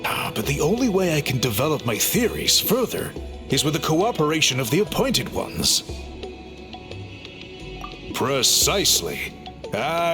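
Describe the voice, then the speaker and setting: Calm formal chief guy, explains things politely, bright lively voice, faint music. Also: fairly high pitch. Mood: reassuring. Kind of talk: game cutscene voice acting.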